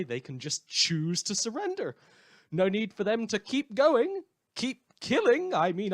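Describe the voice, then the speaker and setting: A man playing a sardonic radio newsreader in a post-apocalyptic world, reading scripted satirical news with dry, rambling asides. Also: a little high. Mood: bitter, agitated. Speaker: radio host.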